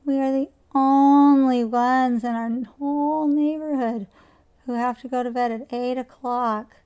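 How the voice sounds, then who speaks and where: A woman's voice: high-pitched, steady tone, measured pace, clear, resonant tone, muffled recording. A woman doing a wailing, pleading boy, Bobby. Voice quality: loud and whining.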